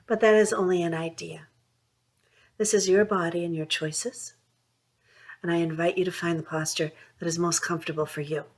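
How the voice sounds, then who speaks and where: A woman leading a guided mindfulness meditation, speaking in a soothing, unhurried way with long pauses between phrases. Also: lowish voice, steady tone. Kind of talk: guided meditation, gentle instruction.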